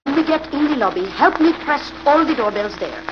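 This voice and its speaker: An actress playing a cool, commanding female crime boss in a 1940s radio drama, giving brisk, imperious orders. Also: high-pitched, animated. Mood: tense, controlled. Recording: muffled, noisy old radio recording.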